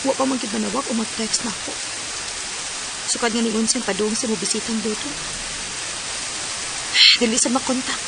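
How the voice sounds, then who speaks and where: A woman's voice: a little high, steady tone, normal speed, gravelly, pauses often, heavy background noise. An actress playing a young woman hurt and anxious over her boyfriend in a radio drama. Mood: hushed. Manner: emotional, pleading.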